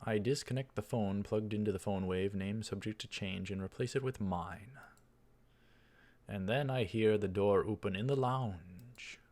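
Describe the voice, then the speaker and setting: Low-voiced man reading a visual novel aloud. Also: unhurried, darkish tone. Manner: narrates each line with dialogue tags and aside remarks. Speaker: let's play commentator.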